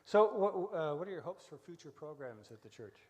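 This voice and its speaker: A man's voice: a little high, animated, a little fast. A man in his sixties hosting a live show, with a folksy storyteller's delivery, in a large church room. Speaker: host of a church radio variety show. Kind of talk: live interview and scripted reflective monologue. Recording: echoey hall with long reverb.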